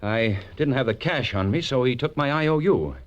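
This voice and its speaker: A man's voice: mid-range voice, expressive, moderate pace, highs cut off, lightly acted. An actor playing an anxious, ambitious businessman in his thirties, hesitant and urgent, in a 1940s radio drama. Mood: tense, urgent. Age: 30s. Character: anxious, ambitious young businessman in a blackmail jam.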